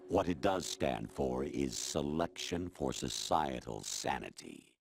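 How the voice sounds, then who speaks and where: A man voicing a cold, condescending artificial intelligence in a video game cutscene, lecturing in a measured, disdainful way. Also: a bass voice. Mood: smug lecturing. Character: cold, condescending male artificial intelligence posing as human authority. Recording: loud music behind the voice. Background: loud music.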